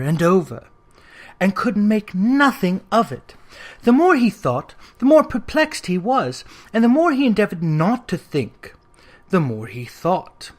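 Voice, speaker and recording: A man narrating a classic Victorian ghost story as an audiobook, in a theatrical, expressive storytelling manner. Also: sits high.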